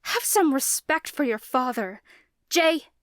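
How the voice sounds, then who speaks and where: A woman's voice: sits high, animated, slow, a bit bright, dry recording, clean studio sound, pitched above the performer's own voice, exaggerated voice. A woman voicing an indignant middle-aged mother scolding her son. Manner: gasping, indignant.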